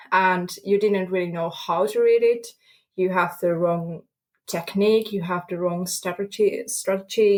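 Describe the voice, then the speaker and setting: Young finnish woman, non-native english, lots of ums, halting but earnest. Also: mid-range voice. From Finland. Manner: halting, searching for words with fillers and laughs. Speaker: guest student. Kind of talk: podcast interview.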